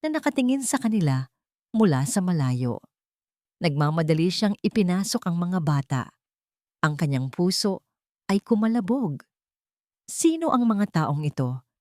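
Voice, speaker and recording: A woman with a fairly low voice narrates a scripted love drama in a very dry, close recording. She delivers it in short, measured phrases with a dramatic storytelling tone. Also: a little mellow, dry recording.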